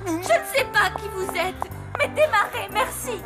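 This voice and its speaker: An actress voicing a haughty, scornful young woman, dramatic and indignant, in a French animated cartoon. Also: very high-pitched, moderate pace, over loud music.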